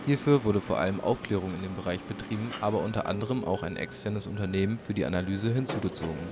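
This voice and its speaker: A man's voice: deep voice, fast talker. A man reading scripted narration for a news report. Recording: clear outdoor background noise under narration.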